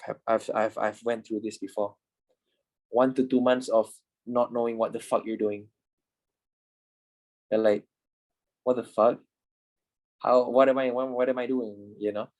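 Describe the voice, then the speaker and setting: A man's voice: medium pitch, little pitch movement, a little slow, long pauses, darkish tone, low-quality recording. A man in his twenties teaching a trading class in a chatty, repetitive way, swearing now and then. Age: 20s. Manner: chatty, repeats himself, swears casually. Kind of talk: casual class lecture.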